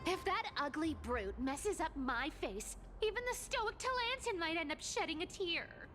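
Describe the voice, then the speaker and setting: A woman's voice: extremely high pitch, animated, normal speed. A woman voicing a sly, playful, vain thief boss in a video game, with a teasing, haughty manner. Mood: scheming. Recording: loud game music over the voice.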